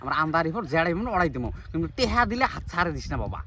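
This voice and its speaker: Man playing a crafty, high-pitched old village farmer. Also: sing-song, racing, a bit bright, a little hiss or hum. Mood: defensive, persuading. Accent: rural North Bengal. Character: crafty, hard-working older village farmer, touchy about bribes. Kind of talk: amateur village comedy skit acting.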